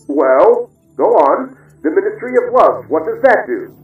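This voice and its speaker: An actor playing a stern, menacing telescreen voice in a radio drama, barking orders like an interrogator. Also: male voice, high-pitched, big pitch swings, unhurried, phone-quality sound. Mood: coldly menacing. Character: menacing, commanding telescreen voice of the Thought Police. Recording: echoey distant radio-like sound, music underneath.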